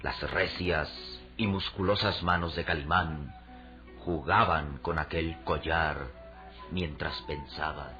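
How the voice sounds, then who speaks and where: A man's voice: a bass voice, steady tone, slow pace, bright, forceful tone. A man narrating a radio serial from a script in a solemn, theatrical storytelling style. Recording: dull radio recording with music underneath.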